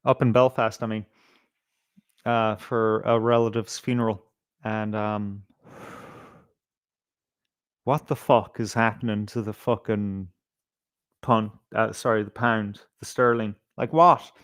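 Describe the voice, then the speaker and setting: A man's voice: fairly low pitch, flat delivery, slow, long pauses, a bit bright, clear, resonant tone, no room sound, clean studio sound. A middle-aged man with an Irish accent hosting a casual live stream, swearing freely as he rambles and hesitates through his commentary. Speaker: livestream host.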